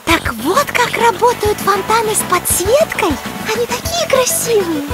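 A woman's voice: extremely high pitch, measured pace, over loud music, heavily acted. A woman voicing a sweet, curious little girl animal in a cartoon, wondering and romantic in manner. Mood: curious, dreamy. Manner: wondering, dreamy, expressive.

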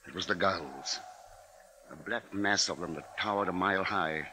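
A man's voice: deep voice, moderate pace, a little hiss or hum, close to an everyday voice. An actor playing a grave, anxious father who narrates dramatically in a 1950s radio drama.

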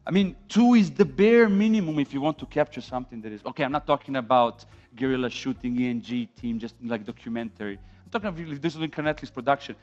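A man's voice: medium pitch, quick pace. A man in his thirties from Serbia with a Serbian accent, giving an informal, emphatic talk as a conference speaker. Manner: animated, emphatic, rhetorical questions. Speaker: conference speaker on film sound. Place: dim indoor conference room, on stage. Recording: dull lo-fi event recording with music.

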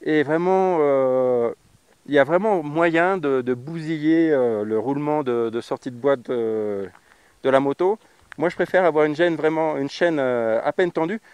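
A man with a fairly high, smooth, clear voice talks through a bike check outdoors, recorded dry and close on a clip-on mic. He is chatty and blunt, drops slang and lots of "euh" fillers, and sounds like a casual travel vlog. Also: unhurried, darkish tone, no echo. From France. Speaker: motorcycle travel vlogger. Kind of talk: casual how-to talk to camera. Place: outdoors on a grassy roadside. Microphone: lapel mic on riding jacket.

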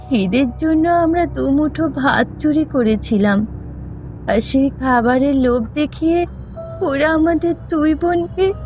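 A woman's voice: high voice, a little mellow. A woman voicing the anguished, pleading ghost of a wronged village girl in a cartoon. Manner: tearful, pleading. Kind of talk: dramatic cartoon voice acting. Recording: music behind the voice.

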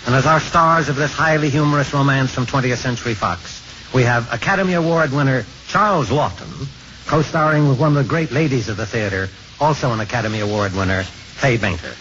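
A male radio host speaks at a middle pitch in scripted 1950s presenting style. The vintage recording is muffled, with faint noise. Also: a little fast, a bit bright.

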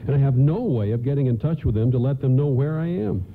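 A man's voice: mid-range voice, expressive, fast talker, close to an everyday voice. An actor playing a polite, anxious father and train passenger in a radio play. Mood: worried. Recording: thin phone-like band, noisy radio broadcast.

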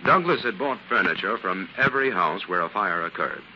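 Casting: assured 1940s radio detective, low and forceful, faintly noisy vintage sound. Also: male voice, lively intonation, fairly quick. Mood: assured, explaining. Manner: authoritative, explanatory. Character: assured male scientist detective explaining a solved case. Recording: dull, hissy 1940s radio recording.

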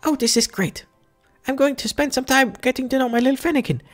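A whiny little preschooler from a video game, put on by a man in a squeaky falsetto. The voice is high and rushed, with faint music underneath. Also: fairly bright tone. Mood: childish.

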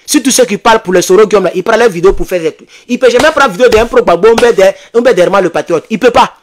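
A man's voice: sits high, quick pace, a little hiss or hum. An Ivorian man in his thirties speaking as an activist host, giving impassioned, urgent commentary to his online followers and repeating phrases for emphasis. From Ivory Coast. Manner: emphatic, repetitive, urgent, animated. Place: small indoor home studio. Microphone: desk microphone close in front.

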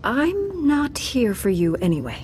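Composed, resolute warrior knight. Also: female voice, fairly high pitch, wildly swooping pitch, darkish tone, slight background noise. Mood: composed, businesslike. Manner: serious, measured, direct. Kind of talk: video game acting.

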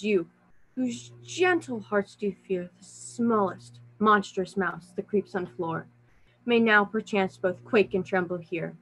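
A timid joiner from a classic stage comedy played by a woman, pretending to be a lion and reassuring the ladies. The voice is mid-pitched and declamatory. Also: fairly bright tone, poor audio. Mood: gently reassuring. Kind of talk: theatrical Shakespeare monologue.

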